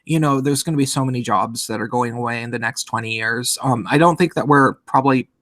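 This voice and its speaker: A man's voice: mid-range voice, quick pace, a bit bright, dry recording, clean studio sound. Nasal-voiced man, rambling casual talk. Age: late 30s. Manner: rambling, with frequent restarts. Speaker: podcast host. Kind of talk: casual livestream chat.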